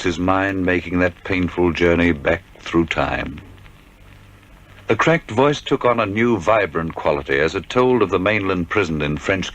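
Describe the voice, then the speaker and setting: A man's voice: sits low, fairly bright tone, rough recording. A man giving scripted, dramatic narration of a crime story for a radio play.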